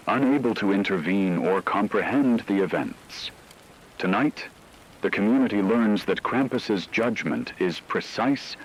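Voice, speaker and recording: Man playing a deep, grave radio horror reporter. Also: fairly bright tone, slight background noise, heavily acted, rough recording. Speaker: radio news anchor. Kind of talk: scripted dramatic radio news narration.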